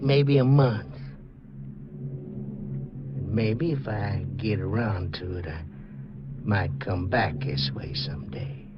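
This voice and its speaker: An actor playing a jealous, murderous backwoods man with a rural Southern accent, brooding and menacing in 1940s radio style. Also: on the low side. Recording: noisy, dull old 1940s radio recording.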